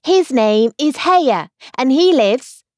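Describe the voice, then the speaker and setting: A woman's voice: high-pitched, animated, takes it slowly, a little mellow, no room sound, clean studio sound, pitched above the performer's own voice. A woman voicing a curious, polite young girl in an audiobook, raising her voice eagerly.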